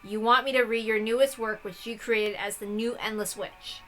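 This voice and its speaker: A woman's voice: a little high, fairly even intonation, moderate pace, fairly bright tone, faint music behind, close to an everyday voice, rough recording. A woman voicing a troubled but defiant young story heroine with an earnest, questioning manner. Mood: wary.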